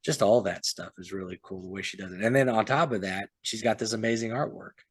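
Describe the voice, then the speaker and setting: A man with a fairly low voice co-hosts a podcast and talks in a loose, meandering way. He often lets his sentences trail off with "so". The sound is close and dry, with no room echo. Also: fast talker, breathy edge. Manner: rambling, trails off with "so". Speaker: podcast co-host and author. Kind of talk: casual chat.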